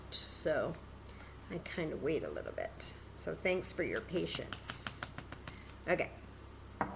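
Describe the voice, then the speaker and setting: A woman's voice: mid-range voice, slight background noise. A woman hosting a children's story time, patiently and encouragingly demonstrating a craft step by step in simple, casual words.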